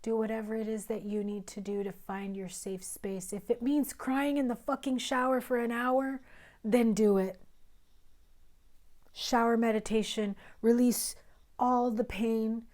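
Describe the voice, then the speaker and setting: A woman doing a tarot reading for her viewers, her voice raspy and hoarse while she recovers, speaking earnestly and sometimes dropping to a whisper. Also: a little high, flat delivery, unhurried, a little mellow. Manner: earnest and emphatic, drops to whispers, occasional cussing. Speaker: tarot reader.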